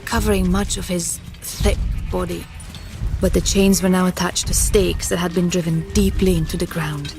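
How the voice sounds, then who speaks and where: A woman's voice: lowish voice, steady tone, normal speed, vocal fry, darkish tone, soft background music. A woman narrator reading a scripted fantasy story.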